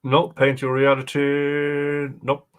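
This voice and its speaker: A man's voice: medium pitch, monotone, slow, clear, resonant tone. A man chatting casually as a co-host. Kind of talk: casual chat.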